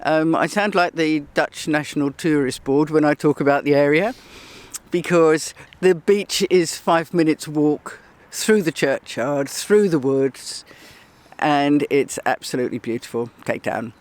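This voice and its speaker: A woman's voice: low-pitched, measured pace, darkish tone. A woman in her sixties from England with an English accent, chatting as an interview guest on an outdoor bench, laughing often and poking fun at herself. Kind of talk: casual chat.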